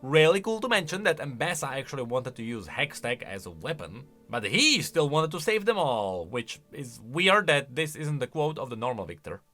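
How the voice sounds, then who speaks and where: Chatty guy reacting to game lore, bright forceful voice, really animated, little bit of music behind. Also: mid-range voice, moderate pace, hardly any pauses. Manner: informal, opinionated, chatty. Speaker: video game lore commentator. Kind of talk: casual reaction commentary.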